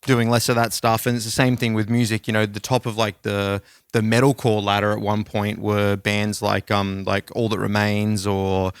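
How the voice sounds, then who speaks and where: A man's voice: fairly low pitch, moderate pace, few pauses, clear, resonant tone, no echo, studio quality. A man co-hosting a podcast, chatting casually and rambling with lots of fillers. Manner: rambling, filler-heavy, relaxed. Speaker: podcast co-host.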